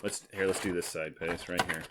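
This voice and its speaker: A man's voice: low-pitched, fairly even intonation, a little fast, very noisy. A man hosting a casual board game podcast, explaining in a teasing, jokey way. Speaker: podcast host.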